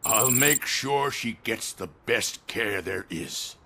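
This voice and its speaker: An actor playing a frail old corporate magnate in a video game, theatrical, courteous and patronizing, with quiet menace underneath. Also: male voice, medium pitch, slow, vocal fry, a little hiss or hum, full character voice. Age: older.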